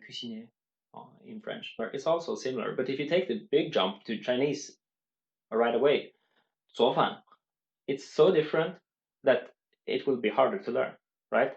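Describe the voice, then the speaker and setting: Male vlogger at a middle pitch, earnest and step by step, recorded on muffled lo-fi audio. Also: a little slow, vocal fry, pauses often, reverberant. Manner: earnest, explains step by step with many restarts. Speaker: language-learning vlogger. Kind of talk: unscripted talk to camera.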